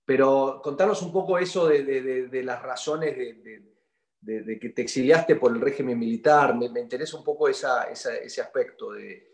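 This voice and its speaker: A man hosting an interview, talking haltingly with many fillers and repeated words. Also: mid-range voice, a little mellow, reverberant. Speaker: interviewer host. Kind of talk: hesitant conversational interview.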